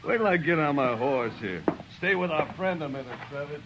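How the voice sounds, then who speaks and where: A swaggering cowboy bully. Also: male voice, a little high, expressive, a little fast, noisy background. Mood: mocking. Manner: swaggering, mocking. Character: rowdy, taunting Texan cowboy, grown man. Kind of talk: 1950s radio drama acting. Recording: muffled 1950s radio broadcast.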